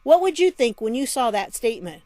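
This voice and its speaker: A woman's voice: a little high, very animated, a little fast, darkish tone, no room sound. A woman giving casual, conversational news commentary with a sardonic edge and frequent rhetorical questions. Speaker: vlog host and commentator. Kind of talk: casual news commentary, reading statements aloud.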